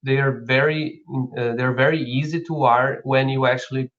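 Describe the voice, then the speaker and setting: A man's voice: medium pitch, fairly even intonation, moderate pace, fairly bright tone, dull audio. A man from Brazil with a Brazilian accent, speaking as a company founder co-hosting an informal live product talk.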